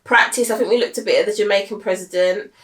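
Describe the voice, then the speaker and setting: A woman's voice: medium pitch, steady tone, fast talker, a bit bright. A woman, a mother chatting casually to the camera as a family vlogger, with her talk full of "obviously" and "do you know what I mean". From United Kingdom. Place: family home, indoors. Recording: roomy echo, voice heard from a distance.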